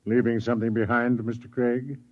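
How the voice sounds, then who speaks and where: An actor playing a cold, imperious board official who questions in a formal, menacing manner in a 1950s radio drama. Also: male voice, mid-range voice, level tone, brisk, dry recording, close to an everyday voice. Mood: probing. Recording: dull vintage radio recording.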